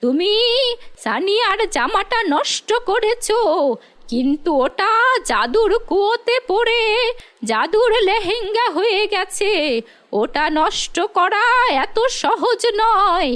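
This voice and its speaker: A stern old magic crone acted out by a woman from a cartoon fairy tale. Her voice sits very high, and she draws out each phrase slowly and with command. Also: animated, fairly bright tone, heavily acted. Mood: stern, punishing. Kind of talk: animated fairy-tale storytelling.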